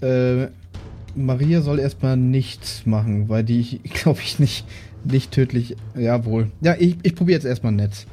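A man's voice: medium pitch, dark tone. A man chatting casually as a tabletop game player, tentatively thinking aloud.

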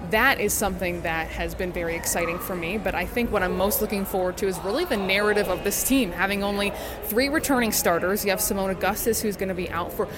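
A woman's voice: medium pitch, fast. Earnest woman broadcaster over noisy background. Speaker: sports radio broadcaster, interview guest. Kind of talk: thoughtful interview answers.

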